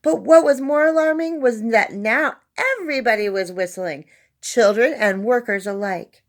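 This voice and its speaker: A woman's voice: on the high side, normal speed. A woman reading a children's story aloud as narrator, expressively and with dramatic emphasis on key words. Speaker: storybook reader.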